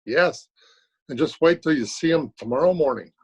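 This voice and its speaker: A man's voice: fairly high pitch, expressive, normal speed, darkish tone, husky. A man playing a courteous, gloomy taxi driver in an old-time radio drama. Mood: deadpan gloomy. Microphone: video call.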